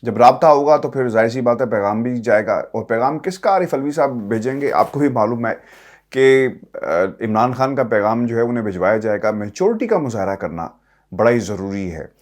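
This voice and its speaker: A man's voice: medium pitch, lively intonation, fairly quick. Male political commentator, Pakistani accent, mid-range voice. Addresses viewers directly, leans on points with emphasis and repetition.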